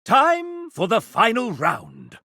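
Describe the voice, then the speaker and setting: Sassy, wildly animated gentleman host. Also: very high-pitched, slow pace, gravelly, dry recording, clean studio sound, exaggerated voice. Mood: showy, excited. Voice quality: animated theatrical delivery. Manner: pompous, showy, sardonic. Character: sassy, flamboyant gentleman host, pompous and playful. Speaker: game show style host and narrator. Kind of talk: theatrical video game narration.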